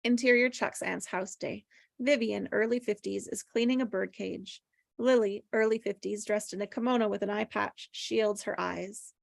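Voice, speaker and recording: A woman's voice: medium pitch, fairly even intonation, moderate pace, creaky, no echo. A woman reading screenplay stage directions as plain, descriptive narration at a table read.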